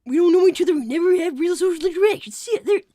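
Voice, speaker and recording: A woman's voice: extremely high pitch, fast talker, dry recording, studio quality. A woman voicing an anxious, earnest young boy fox in improvised cartoon-character livestream chat. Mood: earnest, attentive. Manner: excitable, exasperated, earnest.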